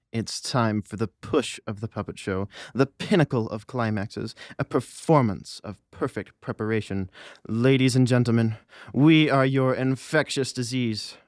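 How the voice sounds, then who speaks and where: A man acts out a gleefully deranged teenage boy killer in an audiobook. He talks with theatrical, formal showmanship at a middling male pitch. The sound is dry, with no room around it. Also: dry recording, close to an everyday voice. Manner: grandiose announcer-like, alliterative showmanship. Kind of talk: audiobook character dialogue.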